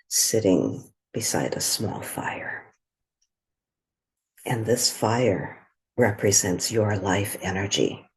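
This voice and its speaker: A woman leading a guided meditation in a gentle, soothing way, with a soft, breathy voice and long pauses between short phrases. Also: a bass voice.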